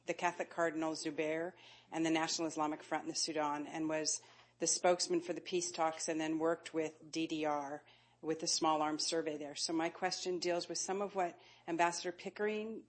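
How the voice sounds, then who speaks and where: A woman's voice: low-pitched, little pitch movement, fairly quick. A woman in the audience at a panel event, asking a long, winding question in a friendly way over a handheld microphone.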